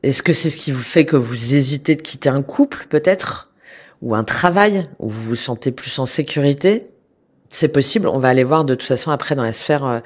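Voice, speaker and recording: Hesitant woman with a cold reading tarot. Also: rumbling low. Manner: hesitant, many fillers and restarts, gentle and reassuring. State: has a cold, congested. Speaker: tarot reader. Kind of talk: spoken tarot reading to viewers.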